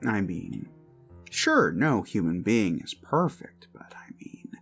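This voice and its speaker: A man playing an obsessive, possessive boyfriend in an ASMR roleplay, creepily sweet and theatrical, coaxing with pauses and drifts into whispers. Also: lowish voice, big pitch swings, creaky, a bit bright, soft background music, heavily acted. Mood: adoring, coaxing.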